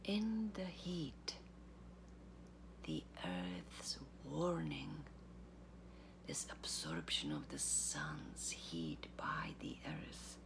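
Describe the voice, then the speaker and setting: A woman giving a literary reading, with deliberate, measured phrasing, long pauses and a breathy, gravelly voice. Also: rumbling low, sing-song, whispered. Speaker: artistic director of a theatre, reading aloud.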